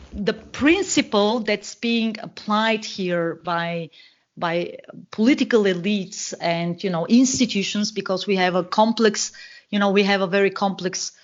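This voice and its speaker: A woman from Bulgaria with a Bulgarian accent, speaking as a guest analyst on a podcast and talking with many fillers and restarts. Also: medium pitch. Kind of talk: podcast interview, explaining. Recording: dull lo-fi call audio.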